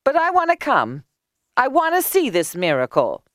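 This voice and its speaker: A feisty village woman, put on by a woman storyteller shouts in a high, shrill voice. She is skeptical and sarcastic, with storybook swoops in her pitch. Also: huge pitch swings, normal speed, no echo, studio quality. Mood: eager.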